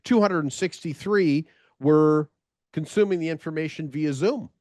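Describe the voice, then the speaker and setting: An American man hosts a casual interview over a video call. He talks in a chatty, rambling way and often restarts his sentences. His voice sits a little high and sounds dry and close. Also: moderate pace, darkish tone, no echo. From United States. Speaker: podcast host.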